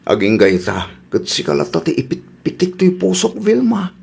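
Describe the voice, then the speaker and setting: A man playing a lovelorn, jealous young ex-boyfriend in an Ilocano Filipino radio comedy, with a husky voice. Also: high voice, very animated, moderate pace, darkish tone, exaggerated voice. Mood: lovesick, pleading. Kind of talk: comedy radio drama acting.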